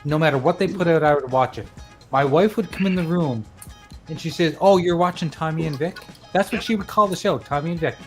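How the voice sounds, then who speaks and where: A man's voice: a little high, a little fast, faint music behind. Earnest man telling a story on a podcast. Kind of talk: casual chat.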